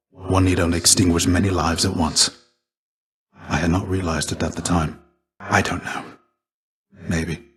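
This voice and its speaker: A deep male voice for a video game character, performed by an actor: a fallen Greek warrior, stoic and weary. He speaks low, in measured, formal phrases. There is a restrained, gentle gravity to him, like a fatherly mentor. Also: natural voice. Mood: somber, regretful.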